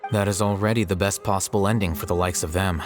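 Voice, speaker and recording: Guy doing aloof deadpan scribe for a video game, dry and formal, faint music behind. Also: sits low, fast talker, natural voice. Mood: dry, explanatory. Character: aloof, unambitious male academy scribe, matter-of-fact and dry. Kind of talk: scripted video game dialogue.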